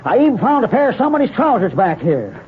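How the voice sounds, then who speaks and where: An actor playing an indignant, fussy neighbour who grumbles and accuses, in old radio comedy style. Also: male voice, high voice, very animated, fast talker. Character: indignant, grumbling male neighbour, victim of theft. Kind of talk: 1940s radio comedy acting. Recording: tinny, hissy old radio broadcast.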